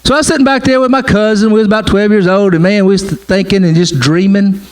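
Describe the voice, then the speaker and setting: A man's voice: sits high, steady tone, fast talker. A middle-aged man preaching a sermon in a conversational way, repeating his phrases and often saying "man" and "right?". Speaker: pastor.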